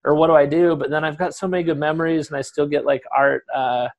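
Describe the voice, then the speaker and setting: A man's voice: on the high side, steady tone, fast. A young man hosting a casual interview over a video call, talking in a chatty, rambling way with restarts. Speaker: podcast host. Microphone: headphones on video call.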